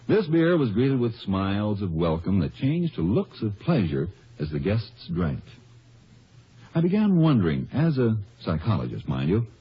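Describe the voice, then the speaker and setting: Husky, genial professor in old radio ad. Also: male voice, on the low side, exaggerated voice. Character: genial, wry male psychology professor giving a testimonial. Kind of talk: 1950s radio ad narration. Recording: dull vintage radio recording.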